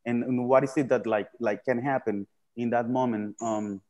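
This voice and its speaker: A man from Colombia speaking as a panel guest on a video call, reflecting slowly with many fillers, restarts and repeated words. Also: mid-range voice, steady tone, darkish tone, highs cut off. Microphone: video call webcam.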